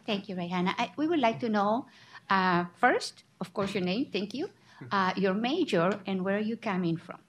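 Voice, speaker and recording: A woman moderating a college student panel, polite, pausing between her prompts. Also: mid-range voice, lively intonation, a little hiss or hum. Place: college library.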